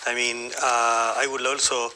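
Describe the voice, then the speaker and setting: A man from Argentina with an Argentine Spanish accent, giving a spontaneous academic lecture in a halting, discursive way with frequent self-corrections. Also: medium pitch, flat delivery, background noise. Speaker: guest lecturer, academic historian.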